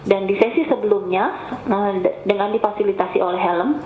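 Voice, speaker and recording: A woman's voice: medium pitch, normal speed. A woman with a cough presents patiently over an online call, explaining things step by step and addressing her audience politely. Her voice comes through thin and phone-like, with a roomy echo and faint hiss behind it. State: has a cough. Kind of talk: webinar presentation. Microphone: remote call mic. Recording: tinny, echoey online call audio.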